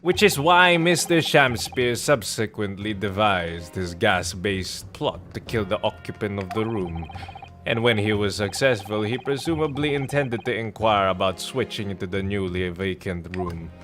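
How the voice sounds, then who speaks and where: Male reader as earnest video game defence attorney: formal, methodical, theatrical, slightly low register, light music behind. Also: unhurried, a bit bright. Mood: confident, explaining. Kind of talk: dramatic read-aloud of game dialogue.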